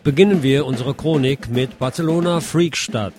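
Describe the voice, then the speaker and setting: A man's voice: mid-range voice, moderate pace, over loud music. A man reading scripted news as a radio presenter.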